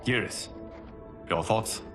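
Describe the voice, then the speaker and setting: An actor playing a grave, commanding guardian god in a video game cutscene, speaking in a measured, formal way. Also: male voice, fairly bright tone, faint music behind.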